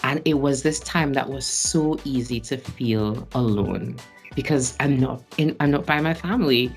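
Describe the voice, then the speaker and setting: A man's voice: medium pitch, normal speed, faint music behind. A Trinidadian man in his late twenties, a stage actor and singer, reflecting on his experiences in a casual interview and laughing often between phrases. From Trinidad and Tobago. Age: late 20s.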